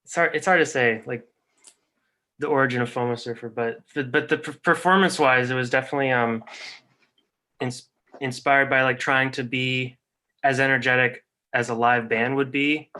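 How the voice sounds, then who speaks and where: A young man with a bright, sharp-edged voice at middle pitch speaks as a musician guest on a call. He searches for his words, often trailing off. He talks in a casual, unhurried way. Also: fairly even intonation. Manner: hesitant, trailing off. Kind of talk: casual video call interview.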